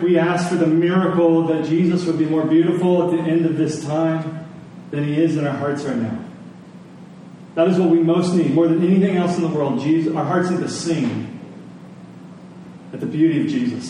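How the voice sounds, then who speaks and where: A man's voice: on the high side, dark tone. A man preaching a sermon in a conversational teaching manner, with repetition and rhetorical questions. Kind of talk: sermon to a Sunday congregation. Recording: echoey room, distant mic, faint noise.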